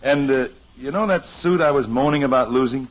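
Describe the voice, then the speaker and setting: A middle-aged man playing a kindly family father in a 1950s radio sitcom, gentle and wry. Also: mid-range voice, fairly quick, highs cut off, natural voice. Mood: tender. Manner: gentle, wry, self-deprecating.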